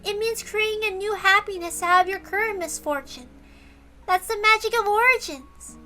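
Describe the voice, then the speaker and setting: A woman's voice: extremely high pitch, moderate pace, sharp tone, voice pushed up. A woman voicing a cheerful, earnest little girl witch from a visual novel, reassuring as she teaches. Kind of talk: visual novel character read aloud. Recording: music behind the voice.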